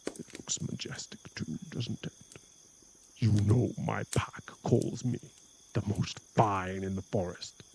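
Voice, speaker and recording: Man playing a cocky, gravelly werewolf flirt. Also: on the low side, steady tone, slow pace, a little mellow, a little hiss or hum, poor audio. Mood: boastful flirting.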